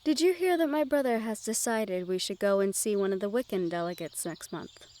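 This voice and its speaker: A woman playing a gentle, curious young noblewoman in a fantasy audio roleplay, speaking to the listener in formal, measured phrases. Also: medium pitch, a little hiss or hum, natural voice. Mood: friendly, curious. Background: outdoor garden ambience with birdsong.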